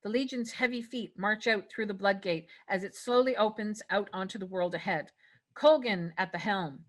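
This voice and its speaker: A woman's voice: medium pitch, normal speed. A woman reading stage directions as scripted narration at a table read.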